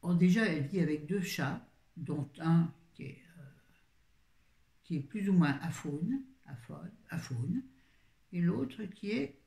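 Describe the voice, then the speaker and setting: A woman's voice: deep voice, creaky, warm tone. A woman chatting casually about books to her viewers, rambling and often interrupting herself. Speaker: book vlogger. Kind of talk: casual book review chat. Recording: roomy echo.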